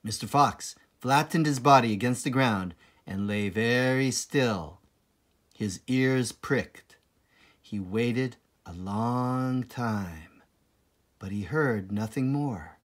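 A man narrating a children's storybook aloud in a dramatic, deliberate manner with suspenseful pauses. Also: mid-range voice. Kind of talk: expressive children's storybook reading.

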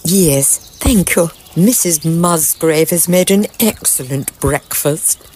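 Slow, genteel older Edwardian lady, played by an actress. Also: low voice, a little mellow, slight background noise. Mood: genteel, admiring. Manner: polite, old-fashioned, measured. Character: genteel elderly Edwardian mother, fussy and admiring. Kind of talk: audio drama acting.

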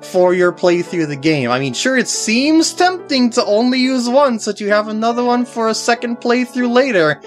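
A young man giving casual, unscripted walkthrough commentary in a halting way with wry asides. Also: very high-pitched, animated, soft background music.

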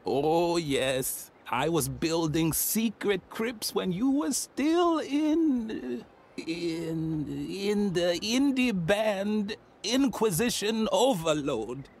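A man's voice: high voice, very animated, drawn-out words, a little hiss or hum, heavily acted. An actor voicing an ancient, muddled old man in a game who rambles boastful tall tales. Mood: rambling. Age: older.

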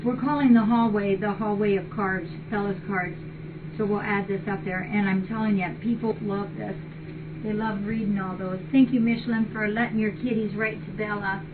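A woman's voice: medium pitch, steady tone, moderate pace. An older woman chatting casually and appreciatively as she hosts a live webcam stream and reads things aloud. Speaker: cat rescue shelter host. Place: large indoor cat shelter room. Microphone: distant fixed webcam. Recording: muffled, noisy webcam audio from far off.

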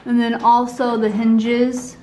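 A young adult woman casually walking through a house under renovation on a handheld phone, talking in a relaxed, rambling way with restarts. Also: fairly high pitch, takes it slowly, slight background noise. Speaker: vlogger giving a home tour. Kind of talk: casual walkthrough narration. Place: indoors, empty rooms of a house under renovation. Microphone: handheld phone camera.

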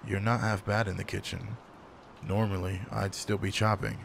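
A man playing a gruff but caring werewolf pack alpha in an intimate roleplay audio drama, speaking in a low-key, casual, matter-of-fact way. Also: little pitch movement, normal speed. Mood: calm, welcoming. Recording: noticeable background noise.

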